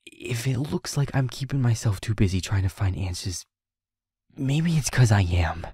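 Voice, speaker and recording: A man playing a stubborn, hurting young werewolf boyfriend in an intimate audio roleplay, with a husky, breathy delivery that often drops near a whisper and sounds earnest and strained. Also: lowish voice, animated, a little mellow, no room sound, studio quality. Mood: anguished, driven. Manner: halting, earnest, emotionally strained.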